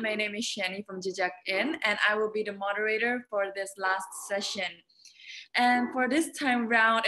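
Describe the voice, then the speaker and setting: A woman's voice: mid-range voice, a bit bright, slight background noise, poor audio. A young woman moderating a live online talk over a laptop webcam, upbeat and reading announcements. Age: young adult. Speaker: moderator host. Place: indoor room. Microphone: laptop webcam on video call.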